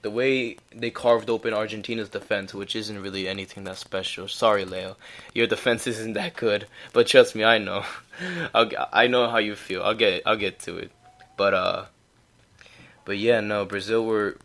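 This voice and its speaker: Low-voiced guy rambling about soccer. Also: a bit bright. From United States. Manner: rambling and chatty, with laughs and restarts. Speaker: podcast host. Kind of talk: casual solo sports commentary.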